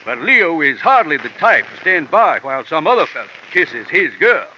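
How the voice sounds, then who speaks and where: A man's voice: fairly high pitch, big pitch swings, moderate pace, pushed and bright, a little hiss or hum, exaggerated voice. An actor playing a pompous older man colonel and horse owner in an old radio drama, formal and stiff in his speech. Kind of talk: 1950s radio drama acting. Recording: dull lo-fi old radio broadcast.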